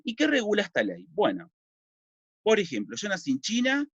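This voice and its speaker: A man with a Uruguayan accent gives a civics lesson, his voice set a little high and moving up and down a great deal as he explains. He asks questions and then answers them himself. The recording is dry and a bit dull. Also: wildly swooping pitch, moderate pace, fairly bright tone, breathy edge, dry recording. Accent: Uruguayan Spanish. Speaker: civics teacher.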